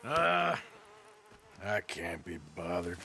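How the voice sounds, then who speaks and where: A man's voice: low-pitched, very animated, takes it slowly, creaky, pushed and bright, a little hiss or hum, full character voice. A man playing a reluctant, world-weary outlaw gunslinger with a rural Western American drawl, laconic and dry, in a game drama. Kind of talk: naturalistic video game acting.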